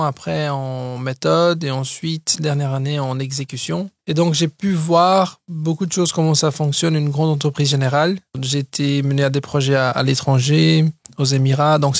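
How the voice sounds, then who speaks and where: A man's voice: fairly high pitch, dry recording, clean studio sound. A man in his thirties, originally from Brazil, telling his story as a guest in a casual podcast interview, with frequent hesitations and restarts. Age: 30s. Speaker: guest entrepreneur.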